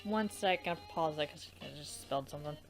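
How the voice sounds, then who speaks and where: A woman's voice: low voice, fairly quick. Woman doing a casual let's play, swears a lot, exasperated banter, game music behind her. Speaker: let's player. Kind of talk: casual game commentary with a co-host.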